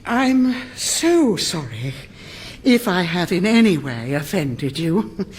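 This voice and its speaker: A woman's voice: fairly low pitch, big pitch swings, slow pace, slightly rough, heavily acted. An actress playing a dry, sarcastic older woman who runs an airline in a radio sitcom, mock-polite in manner. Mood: mock-apologetic. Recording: very noisy radio sitcom recording.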